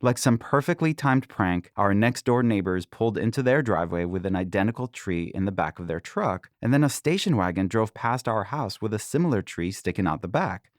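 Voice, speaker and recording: A man in his forties, born in Israel, calmly reading aloud from his own book as a podcast host, with an occasional soft chuckle. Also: lowish voice, a little fast, hardly any pauses, no room sound, clean studio sound.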